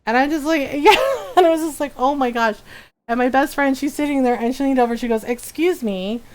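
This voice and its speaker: A woman's voice: sits high, fairly quick. A middle-aged American woman live streamer chatting casually, telling personal stories and acting out quoted dialogue as she goes. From United States. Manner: animated retelling with acted-out quoted dialogue.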